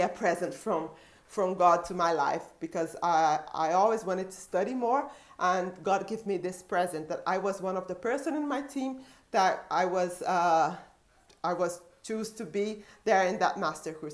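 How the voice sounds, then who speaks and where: A woman in her thirties speaks English with a Brazilian Portuguese accent. She tells her personal testimony earnestly, pausing and restarting her sentences often. Her voice sits at a middling pitch. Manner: earnest, halting, with many restarts. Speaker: missionary and social worker.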